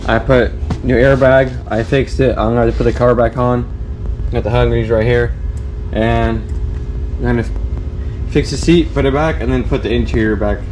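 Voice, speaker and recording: A man vlogging while rebuilding his car, his voice raspy from feeling unwell, chatty and encouraging with halting phrasing. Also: medium pitch, fairly even intonation, darkish tone, faint music behind, rough recording. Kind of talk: casual talk while working on a car. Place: outdoors and in a garage by the car.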